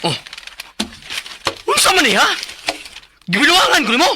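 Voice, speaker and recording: A man's voice: extremely high pitch, big pitch swings, moderate pace, noisy background. An actor with a Cebuano Filipino accent playing a hot-tempered, suspicious and abusive male customer in a radio drama, confrontational and accusing. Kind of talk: Bisaya radio drama acting.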